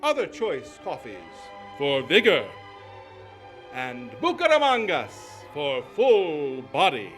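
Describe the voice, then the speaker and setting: A man's voice: fairly high pitch, huge pitch swings, slow pace, a bit bright, faint music behind, full character voice. A man playing a polished, enthusiastic 1940s-style radio announcer, declamatory and emphatic. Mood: emphatic, rousing. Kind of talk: 1940s radio advertising and narration.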